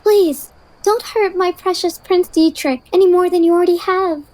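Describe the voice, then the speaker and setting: A woman's voice: very high voice, steady tone, normal speed, darkish tone, no echo. A woman voicing a cutesy, tearful young noblewoman in an anime fan dub, plaintive and sugary. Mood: pleading.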